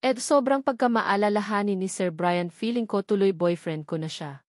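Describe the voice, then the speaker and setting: A woman's voice: mid-range voice, normal speed, dry recording, studio quality. A woman with a Filipino accent reading a first-person romance story as a scripted audiobook narration.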